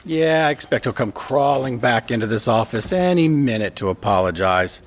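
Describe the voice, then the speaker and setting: A man acting as a businesslike senior boss in an audio drama. He talks matter-of-factly at an average pitch, as if chatting on a call. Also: expressive, normal speed, lightly acted. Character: matter-of-fact, businesslike male law firm boss on a call.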